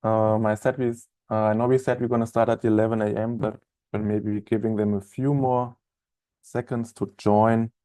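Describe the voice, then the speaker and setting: A man in his thirties with a German accent, chatting as a trainer in a live online software webinar, with many fillers and restarts. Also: lowish voice, little pitch movement, moderate pace, no echo. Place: office room, indoors.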